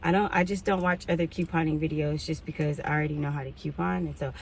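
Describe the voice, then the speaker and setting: A woman, chatting casually to camera as a couponing vlogger, rambling through asides and clearing her throat now and then. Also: low-pitched, steady tone, quick pace, a little hiss or hum. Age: 40s. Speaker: couponing YouTuber.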